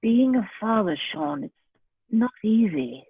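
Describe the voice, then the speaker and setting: A woman reading the part of an earnest, injured middle-aged stepfather in an amateur table read, with a husky voice. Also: a little high, big pitch swings, measured pace, dry recording, narrow, tinny audio, heavily acted. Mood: earnest, confiding.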